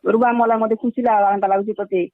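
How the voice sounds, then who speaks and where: A woman's voice: medium pitch, little pitch movement, a little fast, dry recording. Middle aged woman, keeps repeating herself defensively, dry lo-fi phone call audio. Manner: defensive, insistent, repetitive. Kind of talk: heated phone argument in colloquial speech.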